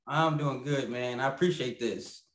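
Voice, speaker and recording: A man's voice: medium pitch, moderate pace. A man being interviewed over a video call about his puppets, rambling and stopping to apologize, laughing easily. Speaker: puppeteer guest. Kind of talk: casual interview chat. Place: home room with a lamp and plant. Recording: echoey room on a video call.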